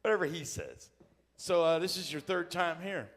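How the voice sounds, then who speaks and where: A man's voice: sits high, animated, normal speed, fairly bright tone. A man hosting a live talk show, welcoming guests with upbeat, joking announcer patter. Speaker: host.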